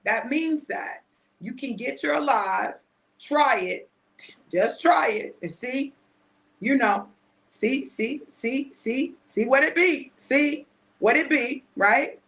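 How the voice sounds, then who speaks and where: A woman in her fifties, chatty and repetitive, mixing a prophetic word with a casual sales pitch and often asking "right?". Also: lively intonation, takes it slowly, vocal fry, fairly bright tone. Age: 50s. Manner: chatty, repeats phrases, asks "right?". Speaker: preacher selling a product.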